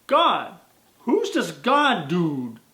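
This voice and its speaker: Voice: medium pitch, sing-song, unhurried. A storyteller voicing a young man seeking his luck, talking casually and bluntly with slang. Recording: roomy echo.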